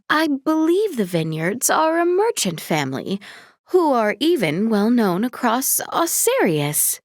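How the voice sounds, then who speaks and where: A young video game princess with a fairly high voice and a very expressive, swooping melody. She speaks formally and courteously, with earnest dignity. The sound is dry and close. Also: no echo, heavily acted. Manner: formal, polite, precisely worded speech.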